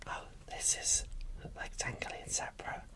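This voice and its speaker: A British ASMR creator giving a casual, chatty unboxing commentary in a soft whisper. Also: normal speed.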